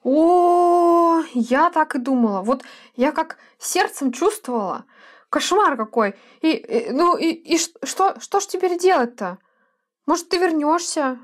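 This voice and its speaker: A woman's voice: sits high, expressive, a little slow, voice pushed up. A woman in a podcast skit, voicing a fretful, anxious mother who stammers and fusses. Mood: alarmed fussing. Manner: flustered, stammering, fussing.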